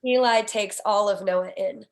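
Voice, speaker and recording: A woman's voice: medium pitch, a little slow. A woman narrating a screenplay's stage directions in a scripted read.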